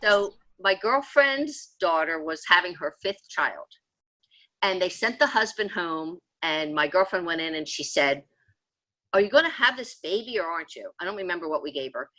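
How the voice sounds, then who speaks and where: A woman's voice: fairly low pitch, expressive, normal speed, pushed and bright, dry recording, poor audio. A woman in her sixties telling personal stories in a chatty, emphatic, conversational way as a podcast guest. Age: 60s. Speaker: podcast guest, homeopathy enthusiast.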